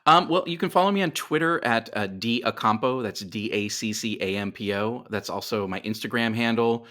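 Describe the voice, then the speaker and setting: Man, middle-aged, lower-pitched voice, podcast interview guest, casual chat, self-deprecating. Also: moderate pace, a bit bright. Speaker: guest, writer.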